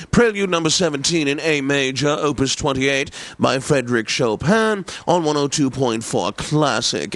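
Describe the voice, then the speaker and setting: An actor playing a pompous, snobbish classical radio DJ in a video game, theatrical and jovial, with flowery diction. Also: male voice, medium pitch, a bit bright, dry recording, heavily acted. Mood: grand, cheerful.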